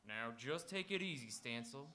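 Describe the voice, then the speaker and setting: Man playing a bright-voiced, watchful frontier marshal. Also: mid-range voice, expressive, moderate pace. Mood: firm. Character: steady, watchful frontier marshal keeping the peace. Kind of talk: live radio Western acting. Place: auditorium stage, live radio theatre performance.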